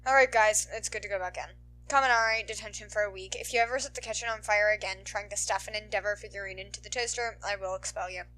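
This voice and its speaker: A woman voicing a weary, stern male schoolteacher in a story, with a flat manner. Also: medium pitch, fast, close to an everyday voice.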